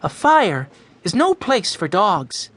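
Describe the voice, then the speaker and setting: A man's voice: sits high, very animated, slow, a bit bright, pitched above the performer's own voice, exaggerated voice. A man voicing a sensible, protective girl in a storybook, earnest and reasoning. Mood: concerned.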